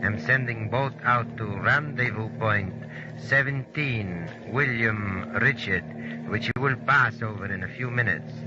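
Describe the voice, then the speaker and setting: A man's voice: mid-range voice, unhurried, lightly acted. An actor playing a curt male radio operator who gives clipped commands, in 1940s radio acting. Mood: commanding. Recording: muffled old radio broadcast with hiss.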